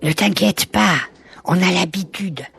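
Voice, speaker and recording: A woman's voice: fairly low pitch, a little slow, creaky. A woman voicing an earnest, plucky young animal schoolchild in a children's audio story. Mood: resigned.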